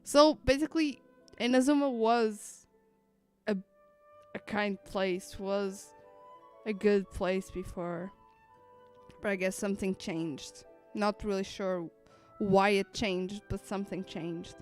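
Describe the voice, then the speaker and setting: A woman's voice: medium pitch, steady tone, slow pace, soft background music. A woman game streamer giving casual, playful live commentary with somewhat hesitant phrasing.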